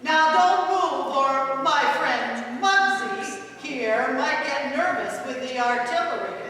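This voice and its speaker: Lady villain, acted by a woman, radio play, mock-polite, menacing, bright forceful tone, distant mic. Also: high voice, lively intonation, heavily acted. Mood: silky menace. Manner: slow, menacing, theatrically courteous. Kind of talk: 1940s detective radio play acting. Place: large hall, stage rehearsal. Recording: echoey hall, distant mic, faint noise.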